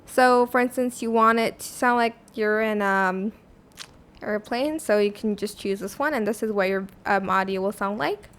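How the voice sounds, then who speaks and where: A woman with a high, youthful-sounding voice hosting a casual software tutorial in a chatty, informal way, thinking aloud as she goes. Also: normal speed, a little hiss or hum. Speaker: tutorial host.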